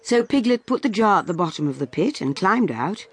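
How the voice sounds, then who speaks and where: A woman narrating a children's story in a husky voice. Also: medium pitch, big pitch swings, brisk.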